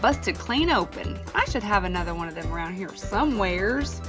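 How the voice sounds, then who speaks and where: A woman's voice: mid-range voice, lively intonation, normal speed, over music, natural voice. A woman voicing an older, helpful bear mechanic uncle in a storybook, with folksy drawling talk. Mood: easygoing, helpful.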